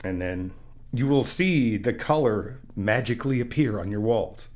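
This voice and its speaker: Guy acting as a chill encouraging male buddy, kinda low voice, casual asmr roleplay, talks you through stuff patiently. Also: expressive, a little slow, darkish tone. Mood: patient, instructive. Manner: informal, patient, step-by-step explaining to a listener. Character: easygoing, encouraging male friend helping paint an apartment.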